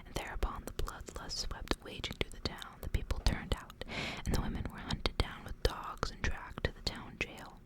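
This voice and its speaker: A woman speaks entirely in a hushed, unvoiced whisper. Her delivery is that of an ASMR creator reading for listeners, kept very quiet throughout. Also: moderate pace.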